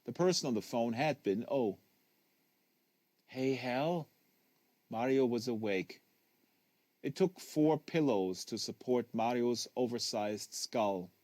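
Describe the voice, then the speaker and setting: A man reading a literary novel aloud as a narrator, leaning on phrases with deliberate emphasis. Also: medium pitch, expressive, a little mellow, dry recording. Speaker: audiobook reader. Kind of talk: live literary audiobook reading.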